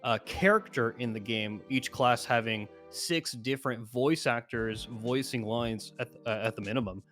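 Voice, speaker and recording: A man's voice: mid-range voice, moderate pace, a bit bright, faint music behind. A man giving casual gaming commentary as a guest, explaining his opinions at length.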